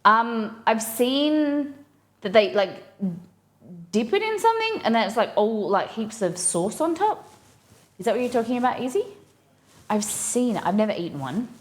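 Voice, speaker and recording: A woman's voice: fairly high pitch, big pitch swings, normal speed. A woman with an Australian accent, hosting a casual cooking live stream and chatting informally with viewers as she thinks aloud.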